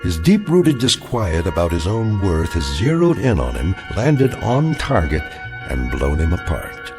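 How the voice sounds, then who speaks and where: An actor as a grave, ominous storyteller, narrating a radio drama in a deliberate, portentous manner. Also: male voice, sits low, sing-song, music in the background, full character voice.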